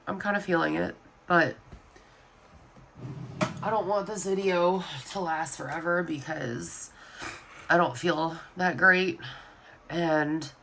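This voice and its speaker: A young woman with a Southern US accent chats casually while stuffed up with a cold, her voice nasal and sniffly. She speaks slowly, rambling with little energy, in a somewhat low, bright tone. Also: creaky, slight background noise. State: sick with a stuffy nose, sniffling. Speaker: planner vlogger. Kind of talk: casual chat.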